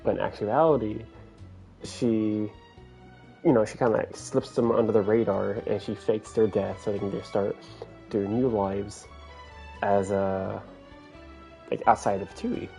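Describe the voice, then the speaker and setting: Low-voiced man streaming a game, thinking aloud. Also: steady tone, a little slow, creaky, long pauses, mellow, soft background music. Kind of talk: casual play-along commentary, reading game lines aloud.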